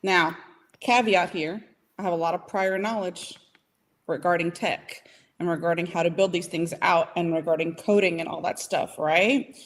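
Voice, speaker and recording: A woman's voice: lowish voice, fairly even intonation, normal speed. A woman business consultant giving advice in a casual podcast chat, emphatic and repeating points for stress. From Puerto Rican heritage, from the US Northeast.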